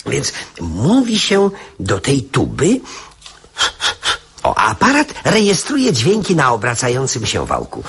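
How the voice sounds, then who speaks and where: An actor playing an excitable, charming spendthrift uncle in a radio drama, coaxing and theatrical. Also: male voice, mid-range voice, slow pace, long pauses, husky, full character voice. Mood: showy, excited. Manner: theatrical, coaxing, hesitant lead-ins.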